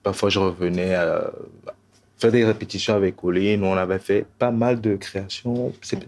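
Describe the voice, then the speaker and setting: Soft-spoken young man from Togo. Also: low voice, fairly even intonation, normal speed, a little hiss or hum. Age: 20s. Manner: hesitant, many fillers, soft laughs. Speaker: dancer, singer and poet. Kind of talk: relaxed panel chat with a recited poem.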